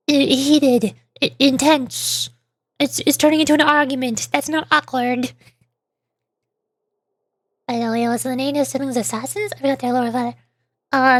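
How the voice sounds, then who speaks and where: A woman's voice: high-pitched, no echo. A woman streamer giving casual, playful, reactive commentary on a game.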